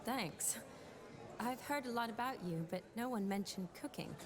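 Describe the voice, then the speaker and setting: An actress playing a gentle, curious young female healer in a game cutscene. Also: fairly high pitch, expressive, normal speed, a crowd in the background, lightly acted. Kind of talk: video game cutscene acting.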